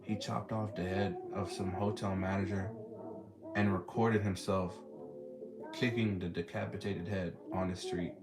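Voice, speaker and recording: A young man with a Texan drawl talks in a loose, slangy way as he hosts a casual stream. His voice sits low. Loud music plays underneath the whole time. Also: level tone, a little slow. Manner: laid-back, slangy, reading and answering chat. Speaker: streamer host. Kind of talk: casual live chat with callers. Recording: loud music playing behind.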